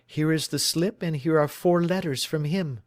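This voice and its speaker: A man's voice: normal speed, dry recording, clean studio sound, lightly acted. A man voicing an earnest young woman who is anxious and devoted to her missing fiancé, in a raised, pleading voice. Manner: pleading, distressed, higher voice.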